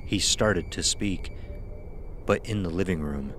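A man's voice: deep voice, unhurried, fairly bright tone, slight background noise. A man narrating a scripted horror audio drama as its storyteller.

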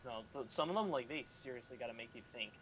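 A chatty, animated man in casual conversation as a podcast panelist. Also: mid-range voice, fast, slight background noise, poor audio. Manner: animated, chatty, fond of acting out examples. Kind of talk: casual chat.

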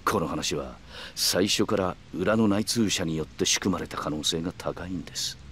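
An actor playing a calm, calculating middle-aged yakuza man who speaks in polite, measured, formal phrases in a video game. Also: sits low, a little hiss or hum. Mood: grave, explanatory. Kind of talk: Japanese video game cutscene acting.

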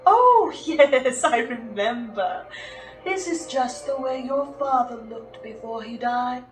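A woman's voice: a little high, expressive, slow. A woman voicing a sly, menacing villain lion in a cartoon fan dub, with a mocking, oily, theatrical manner. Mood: gloating. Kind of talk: fan dub of animated film. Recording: roomy playback from a screen, music behind.

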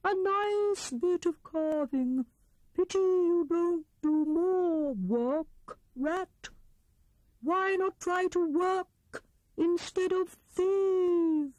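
Woman voicing a very slow, high, hooting old owl. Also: darkish tone, no echo, heavily acted, low-quality recording. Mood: gruff, haughty. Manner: drawn-out words, hooting, disapproving. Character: stern, aloof wise old male owl.

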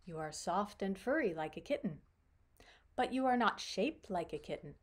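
A woman's voice: mid-range voice, lively intonation, moderate pace, slight background noise, natural voice. A woman voicing a small female ladybug in a storybook, grand, formal and a little bossy. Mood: prim, scolding.

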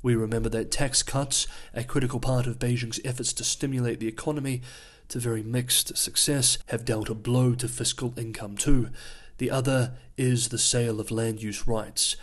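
A man hosting his own news analysis channel, reading a scripted voice-over in a measured, explanatory way, his voice going hoarse. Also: medium pitch, steady tone. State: losing his voice.

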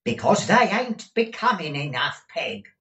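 A man voicing an excitable, fussy old master in a storybook reading, with an emphatic, wheedling manner. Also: fairly high pitch, measured pace, voice pushed up, full character voice. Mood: eager, insistent. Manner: emphatic, exclamatory. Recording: distant, echoey room recording.

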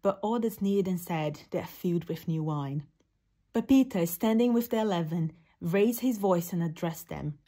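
A young woman reads a Bible narrative aloud. Her voice is mellow with a husky grain, recorded indoors on a simple device. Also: on the low side, moderate pace. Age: young adult. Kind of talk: scripture reading. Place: indoor room.

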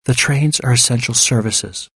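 A man's voice: mid-range voice, moderate pace, fairly bright tone, husky, no echo. A man voicing a cautious male doctor who speaks formally and politely in an audiobook story. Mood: calm caution.

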